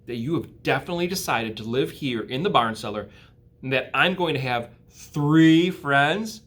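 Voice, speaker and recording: A man's voice: mid-range voice, animated, normal speed, fairly bright tone. A man voicing a sensitive young male pig in a storybook, earnest and emotional, with a pleading manner. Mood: hopeful, joyful.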